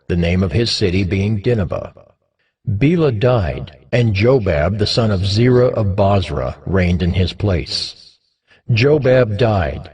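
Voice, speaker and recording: A man reading scripture as scripted, dramatized narration, in a solemn, measured manner with deliberate pauses. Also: on the low side, a little mellow. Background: background music.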